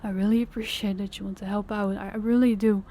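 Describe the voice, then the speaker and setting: A young woman playing a worn-out, loving girlfriend who talks softly and hesitantly, often stumbling over her words. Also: mid-range voice, flat delivery, fast talker, slight background noise, natural voice. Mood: weary tender. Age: young adult. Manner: soft, hesitant, stammering restarts. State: exhausted. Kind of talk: audio roleplay acting.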